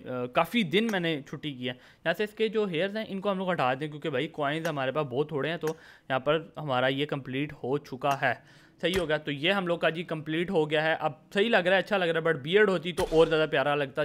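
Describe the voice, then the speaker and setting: A man's voice: fairly high pitch, brisk, a bit bright. A man with a Pakistani accent doing a casual, informal game commentary voice-over, mixing in English words. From Lahore, Pakistan. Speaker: gaming video host.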